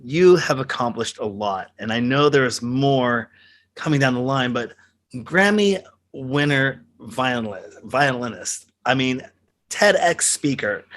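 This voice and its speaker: A man's voice: mid-range voice, animated, takes it slowly, a bit bright. A man hosting an interview, talking casually with lots of fillers. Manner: rambling, many fillers. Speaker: interview host.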